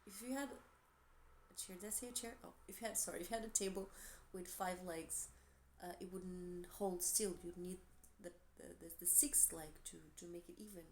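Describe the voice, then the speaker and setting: A woman with a Portuguese accent, gentle and encouraging, giving a tarot reading with soft asides and self-corrections. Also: fairly low pitch, fairly even intonation, normal speed, hardly any pauses, slight background noise. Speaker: tarot reader.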